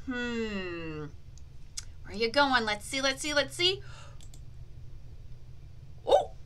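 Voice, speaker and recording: Lady doing a kids lesson, high lively voice, slow pace, asks questions and cheers them on, really playful. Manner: exaggerated, playful, encouraging child-directed delivery with drawn-out key words. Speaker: children's teacher and host of a read-aloud language activity. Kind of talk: interactive lesson for young children, asking questions and praising answers.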